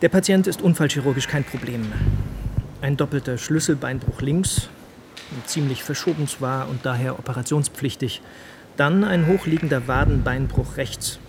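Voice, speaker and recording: A man's voice: mid-range voice, normal speed, noisy background, close to an everyday voice. A man playing a touchy, matter-of-fact trauma surgeon in a radio drama, speaking in clipped clinical phrases.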